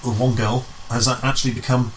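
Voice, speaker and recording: A man talking casually as an interview guest, halting and repeating his words. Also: mid-range voice, little pitch movement, a little hiss or hum, rough recording. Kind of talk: casual conversation. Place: room in own home.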